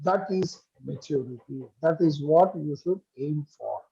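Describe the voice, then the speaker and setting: Guy teaching a spiritual class on a video call, kinda high husky voice, keeps asking "right?". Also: warm tone, muffled recording. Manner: measured, asks checking questions. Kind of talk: online study group lecture. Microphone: computer mic on a video call.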